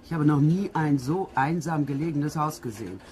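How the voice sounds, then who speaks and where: A woman's voice: low voice, moderate pace, darkish tone, lightly acted. Woman acting a snooty blunt nurse in a radio mystery, easily appalled, indignant way of talking.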